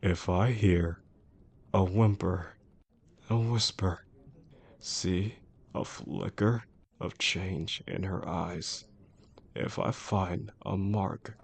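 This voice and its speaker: Man acting a low, gravelly, possessive boss. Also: a little mellow, heavily acted. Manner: controlled, deliberate, formal wording. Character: possessive, menacing male boss obsessed with an employee. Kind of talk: scripted romantic audio roleplay acting.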